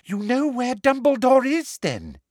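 A man voicing a cruel, falsely sweet middle-aged woman official with a prim, sharp manner. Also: very high-pitched, huge pitch swings, unhurried, dry recording, studio quality, voice pushed up, heavily acted.

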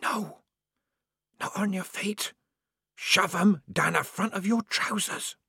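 A man voicing an unseen, conspiratorial stranger in an audiobook, giving patient, wry advice in a hoarse, hushed whisper. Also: sits high, huge pitch swings, extremely slow, dry recording, clean studio sound, pitched above the performer's own voice, heavily acted. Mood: hushed urging. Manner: patient, hushed, matter-of-fact. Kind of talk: audiobook character voice.

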